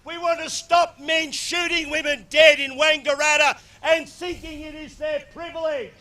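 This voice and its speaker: A man giving a loud, impassioned tribute speech outdoors on a football ground without a microphone, heard from a distance. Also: level tone, moderate pace. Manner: booming, impassioned, declamatory. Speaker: speaker at a memorial tribute before a football match. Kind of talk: loud unamplified public address to a crowd. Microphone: no microphone, picked up from a distance.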